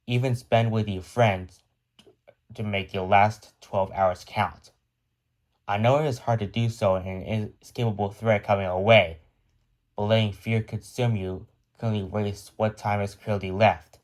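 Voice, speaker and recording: Male actor as solemn emergency broadcast announcer, lowish pitch, formal and grave, scripted end-of-world bulletin. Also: steady tone, unhurried, lightly acted. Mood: solemn, regretful. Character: grave radio and TV announcer giving doomsday broadcast.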